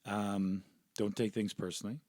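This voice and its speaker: Man, former hockey player, podcast guest, reflective, earnest, lowish voice, dry close recording. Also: level tone, moderate pace, a little mellow, no room sound. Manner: reflective, earnest, peppers talk with "right?" and "you know". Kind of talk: casual interview chat.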